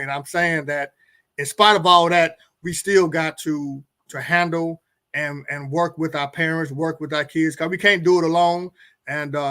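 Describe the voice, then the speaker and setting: A middle-aged man with a fairly high voice talks earnestly in a talk-show conversation. He often doubles back on words as he works toward his point. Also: fairly bright tone. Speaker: guest pastor and co-host.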